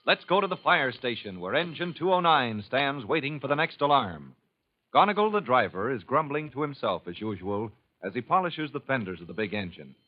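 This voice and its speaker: Man narrating an old radio drama, smooth and measured, dull old radio sound. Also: mid-range voice, very animated. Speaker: narrator and announcer.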